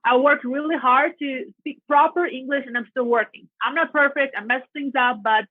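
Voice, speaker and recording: A woman from Latvia speaks with a Russian accent, her voice sitting a little high. She tells her story in a chatty, earnest way. The sound is dry and thin, like a phone line. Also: steady tone, normal speed, dry recording. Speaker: realtor guest. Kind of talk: casual podcast interview.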